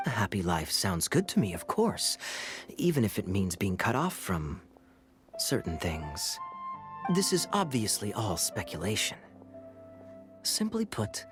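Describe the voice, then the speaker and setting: An actor playing a suave, sly male knight captain in a video game cutscene, teasing and smooth with playful chuckles. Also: mid-range voice, natural voice.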